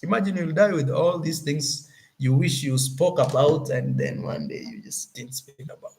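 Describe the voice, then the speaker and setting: A young Kenyan man with a Kenyan accent, talking casually to his viewers as a YouTuber, animated and often searching for words. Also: fairly high pitch, normal speed, gravelly, slight background noise, poor audio. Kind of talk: casual vlog talk to camera.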